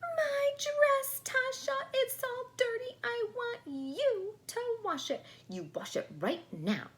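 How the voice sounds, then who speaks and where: A woman's voice: extremely high pitch, sing-song, takes it slowly, a bit bright, voice pushed up, full character voice. A woman voicing a bossy, demanding little doll come to life in a storybook, squealing and imperious. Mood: outraged, screaming.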